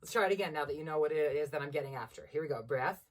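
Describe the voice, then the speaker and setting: A young woman with a generally low voice, teaching a beginner singing lesson from a keyboard at home and encouraging students as she explains. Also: racing, recorded from a distance. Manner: encouraging, demonstrates vocal sounds between explanations. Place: small room at home, seated at a keyboard.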